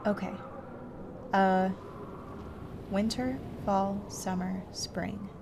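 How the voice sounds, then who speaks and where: A woman doing casual let's-play commentary, thinking aloud in a halting, self-questioning way. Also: mid-range voice, steady tone, vocal fry, background noise. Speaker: host playing a video game.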